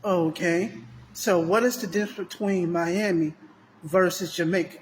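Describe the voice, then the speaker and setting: A man's voice: high-pitched, fairly even intonation, moderate pace. A man hosting a podcast who asks short, plain questions and often starts with "okay". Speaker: podcast host, interviewer. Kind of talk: interview questions. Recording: reverberant room, faint noise.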